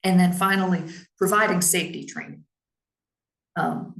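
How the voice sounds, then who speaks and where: A woman who heads a public library, giving a measured, explanatory presentation on staff training in her naturally lower voice. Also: vocal fry, roomy sound, poor audio. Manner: measured and explanatory, with frequent "um" and "now".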